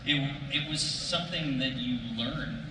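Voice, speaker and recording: A man's voice: a little high, measured pace, pushed and bright. Male panelist, casual and self-correcting, roomy echo and noticeable noise. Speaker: voice actor on a panel. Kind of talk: casual unscripted panel talk. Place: large convention panel room. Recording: distant, echoey hall, noisy background.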